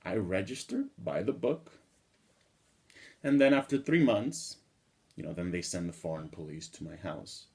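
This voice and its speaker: A man in his thirties from the Dominican Republic speaks with a very low, deep voice. He talks straight to the camera in a small indoor room, earnest and building indignation as he makes his case. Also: lively intonation, a little slow, creaky, long pauses, darkish tone. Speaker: vlogger. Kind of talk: direct-to-camera monologue.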